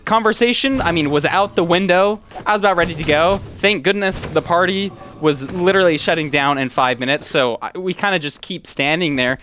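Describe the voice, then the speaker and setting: A man's voice: fairly high pitch, a little fast, hardly any pauses, fairly bright tone, a little hiss or hum. A man in his twenties telling a personal story casually, in a rambling, conversational way, often quoting himself as he goes. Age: 20s. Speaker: YouTuber.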